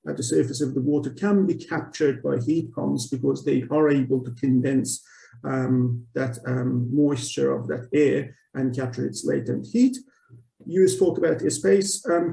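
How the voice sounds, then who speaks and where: Dark-voiced, creaky man presenting from afar. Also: on the high side. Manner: halting, hesitant delivery. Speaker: webinar presenter. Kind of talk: technical presentation. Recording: roomy echo, distant microphone.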